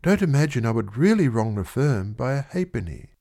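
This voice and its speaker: A man's voice: mid-range voice, big pitch swings, brisk, vocal fry, dark tone, voice pushed up. A man reading aloud, voicing a frightened, tearful young shop girl who pleads in a breathless rush. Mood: haughty. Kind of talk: audiobook reading.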